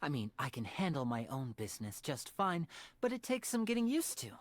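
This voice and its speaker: A woman's voice: low voice, big pitch swings, a little fast. A woman playing a charming young male stage magician from a video game, theatrical, coy and teasing. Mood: confiding. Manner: theatrical, coy, smooth-talking. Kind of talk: video game character voice lines.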